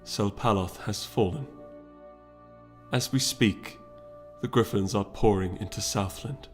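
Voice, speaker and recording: A man voicing a weary, grave princess in a storybook reading, speaking with solemn, measured restraint. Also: on the low side, faint music behind, natural voice. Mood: quiet dread. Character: weary, grave pony princess trying to keep composure.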